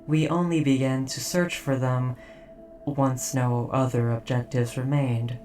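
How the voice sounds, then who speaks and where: A performer voicing a dutiful robot soldier in an audio drama, speaking in a formal, precise, measured and softly hesitant way. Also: medium pitch, little pitch movement, far from the mic, faint music behind, natural voice. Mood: apologetic. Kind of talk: scripted roleplay audio drama.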